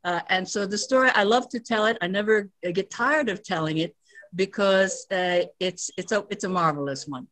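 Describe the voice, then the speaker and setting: Older American woman, measured storyteller. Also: mid-range voice. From United States. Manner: measured, warm storytelling with frequent fillers. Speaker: anthropologist guest. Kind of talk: conversational interview.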